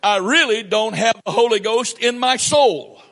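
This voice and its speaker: A male pastor preaches in a church, his voice sitting high for a man. He reads scripture and then explains it at a slow, unhurried pace, stressing his points with measured pauses. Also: gravelly, fairly bright tone. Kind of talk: sermon with scripture reading.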